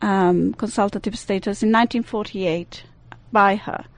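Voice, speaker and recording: A woman's voice: mid-range voice, fairly even intonation, normal speed, no room sound. A woman from the Italian-speaking part of Switzerland with a Swiss Italian accent, a guest on a radio talk show, speaking hesitantly with many fillers. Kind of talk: radio interview. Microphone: studio microphone.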